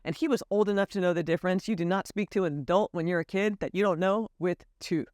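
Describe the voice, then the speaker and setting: A woman's voice: fairly low pitch, quick pace, no room sound, clean studio sound. An American woman telling a personal story to camera in an earnest, candid way. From United States. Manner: earnest, candid, lively emphasis. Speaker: vlogger.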